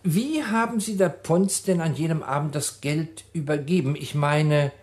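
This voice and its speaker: A man's voice: a little high, moderate pace, darkish tone, natural voice. A man acting in a German radio crime drama, playing a composed public prosecutor who questions formally and sceptically, with dry irony. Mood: probing.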